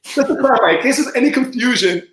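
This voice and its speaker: A man chatting as a podcast guest, mumbling and talking fast with lots of fillers. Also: extremely high pitch, expressive, fairly bright tone, roomy sound. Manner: rambling with many fillers. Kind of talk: casual podcast chat.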